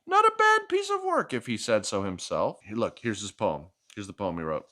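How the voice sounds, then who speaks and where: A man's voice: fairly low pitch, huge pitch swings, normal speed, pushed and bright. A man hosting a children's storytime, reading a picture book expressively with playful, chatty asides to a child. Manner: playful, asking questions and echoing the child's answers.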